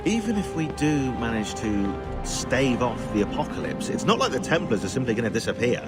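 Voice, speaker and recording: An actor playing a cynical, opinionated British historian in a video game, speaking in an articulate, sardonic and indignant way. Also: male voice, medium pitch, sing-song, a little fast, loud music behind the voice, lightly acted. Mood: earnest. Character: cynical, opinionated male historian, argumentative and bitingly dry. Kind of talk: acted video game dialogue.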